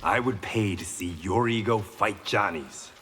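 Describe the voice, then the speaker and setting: A man's voice: on the low side, a little slow, a bit bright, a little hiss or hum. A man playing a seasoned, stern warrior who talks bluntly and dryly in video game banter. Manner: blunt, dry. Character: seasoned stern warrior mentor, dry and worldly.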